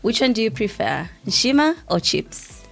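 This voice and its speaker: A woman's voice: a little high, animated, a little slow, creaky. A woman hosting a podcast, bubbly and upbeat, laughing often between her questions. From Zambia. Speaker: podcast host.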